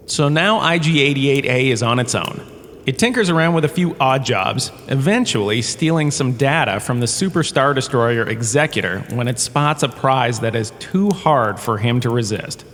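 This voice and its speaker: A man's voice: mid-range voice, a bit bright. A man playing a glib, self-amused washed-up drama star giving a wry, conversational comedic lecture with theatrical emphasis. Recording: echoey cave-like reverb, ambient noise behind.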